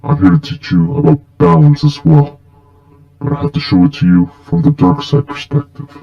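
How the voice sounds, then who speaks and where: A man voicing a grave, menacing cyborg Sith mentor in an amateur fan film, lecturing slowly and solemnly with a gravelly voice. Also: on the high side, expressive, mellow, lo-fi sound. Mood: grave guiding. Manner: slow, solemn, instructive. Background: music.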